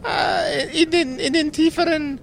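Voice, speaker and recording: A man's voice: very high-pitched, fairly even intonation, a little slow, gravelly, fairly bright tone, a little hiss or hum, pitched above the performer's own voice, exaggerated voice. A man voicing a polite young female library receptionist in a fantasy game, courteous and a little flustered. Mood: flustered, helpful.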